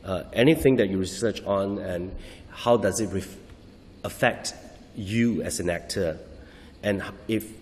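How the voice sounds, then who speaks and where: Male actor, low voice, hesitant restarts, long hall echo. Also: slight background noise. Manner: halting, searching for words, frequent restarts. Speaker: stage actor. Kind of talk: interview answers.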